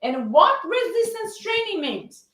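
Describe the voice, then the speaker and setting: A woman's voice: very high-pitched, big pitch swings, unhurried, a bit bright, roomy sound. A woman with a Brazilian accent, a fitness coach teaching a live-streamed lesson, greeting viewers and answering her own questions with energy. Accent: Brazilian Portuguese.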